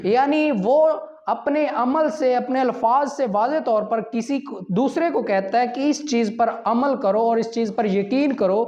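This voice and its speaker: Slow-paced Pakistani man teaching, dull recording. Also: extremely high pitch, darkish tone. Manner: explanatory, checks understanding with questions; mixes in English legal terms. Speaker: law teacher. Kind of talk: informal lecture explaining legal articles with examples.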